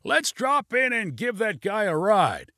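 Man voicing a high, excitable daredevil pilot. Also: a little slow, dry recording, clean studio sound, exaggerated voice. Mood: eager. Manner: folksy, loud, breezily confident. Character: reckless, cheerful male pilot, shouting with excitement.

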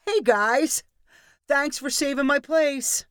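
A woman reading a story aloud, voicing a frantic, pushy male bargain hunter who shouts and pleads. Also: high voice, takes it slowly, no echo, clean studio sound, voice pushed up. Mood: pleading.

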